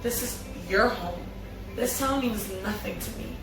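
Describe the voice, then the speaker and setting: A woman's voice: mid-range voice, unhurried. Echoey, distant woman reading drama lines. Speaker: table read participant. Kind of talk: amateur dramatic script reading. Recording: echoey room, distant mic, music behind.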